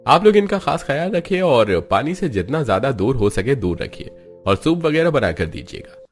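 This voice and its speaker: Man voicing a cartoon doctor, pitched up. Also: very animated, normal speed, faint music behind, close to an everyday voice. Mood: advising. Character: doctor giving firm advice in a cartoon.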